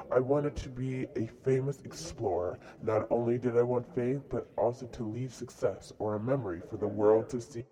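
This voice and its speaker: A man's voice: medium pitch, dark tone, lightly acted, poor audio. A man playing a boastful dead explorer in a mock interview, reciting his answers plainly. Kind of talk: school-project mock interview.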